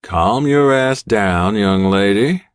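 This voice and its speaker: Gruff male doctor, played by a narrator, blunt and scolding, dry audiobook studio sound. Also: fairly low pitch, lively intonation, slow pace, dry recording. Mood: firm, scolding. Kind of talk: audiobook character acting.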